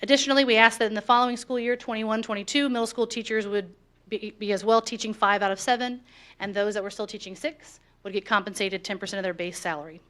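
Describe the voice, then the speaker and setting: A woman speaking for the teachers' union, reading a prepared presentation in a board of education meeting room in a steady, measured, firm way. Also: medium pitch.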